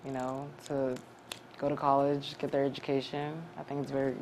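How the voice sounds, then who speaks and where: A young woman from Cameroon, a graduate student, answering interview questions earnestly with many pauses and restarts. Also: extremely low pitch, little pitch movement, noisy background.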